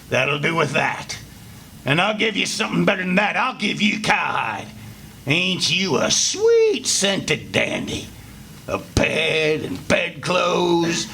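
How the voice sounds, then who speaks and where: A man's voice: a little high, gravelly, bright, forceful tone, heavily acted. A man acting a mean, drunken, greedy father in a live radio play, taunting and domineering. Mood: menacing, then sneering. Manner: taunting, domineering, drawn-out sneering. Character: mean, drunken, greedy backwoods father bullying his son. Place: live performance before an audience. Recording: noisy live stage recording.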